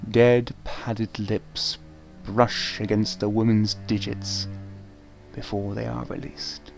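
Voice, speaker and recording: A man narrating a gothic radio play in a deliberate, theatrical scripted style. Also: lowish voice, flat delivery, gravelly. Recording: faint music behind narration.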